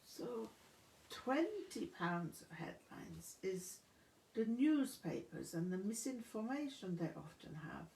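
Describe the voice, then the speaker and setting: A woman reading a memoir and voicing an earnest woman who puzzles things out in halting, breathy phrases. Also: medium pitch, very animated, mellow, heavily acted. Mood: pondering. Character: Annalie, earnest woman puzzling out song lyrics. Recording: roomy, echoing room sound.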